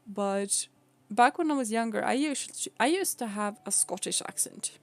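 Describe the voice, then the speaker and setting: A woman's voice: fairly high pitch, lively intonation, moderate pace. A young woman from Sweden with a Swedish accent, chatting casually with viewers on a live stream, laughing often and explaining things patiently.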